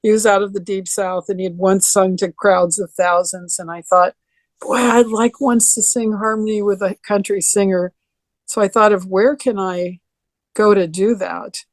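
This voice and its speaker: An older woman with a deeper voice, reminiscing in a rambling way with many restarts during a video call interview. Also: steady tone, normal speed, no room sound. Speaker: interview guest.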